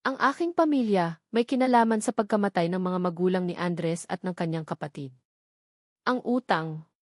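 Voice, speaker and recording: A woman's voice: medium pitch, darkish tone, dry recording, studio quality. A woman with a Filipino accent narrating a dramatic first-person love story from a script, earnestly and in short dramatic phrases.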